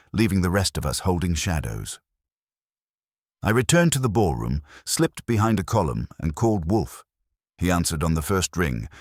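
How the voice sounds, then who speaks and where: A man reading a first-person detective story in a deliberate, dramatic, hardboiled storytelling manner. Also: low voice, no room sound, clean studio sound. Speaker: audiobook narrator.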